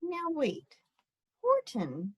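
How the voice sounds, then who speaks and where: A woman presenting a storytime for children, speaking to them directly in a deliberate, theatrical way. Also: sits high, wildly swooping pitch. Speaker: guest storybook reader for children.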